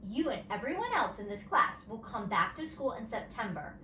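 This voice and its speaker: A woman voicing a patient, gently firm female kindergarten teacher in a storybook, explaining carefully to young children. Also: a little high, very animated, moderate pace. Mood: patient, apologetic. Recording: roomy, distant mic, faint noise.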